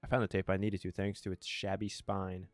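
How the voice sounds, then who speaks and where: A man's voice: low voice, monotone, a little fast, dry recording, faint music behind. A man doing a casual let's play, reading narration aloud and commenting on it, sometimes tripping over his words. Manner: stumbles over words while reading, self-correcting.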